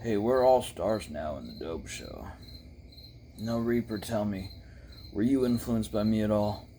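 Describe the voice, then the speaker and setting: Man playing a low-voiced shock-rock talk show host. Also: lively intonation, measured pace, warm tone, a little hiss or hum. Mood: measured, curious. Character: impression of a dark male shock-rock star hosting a show.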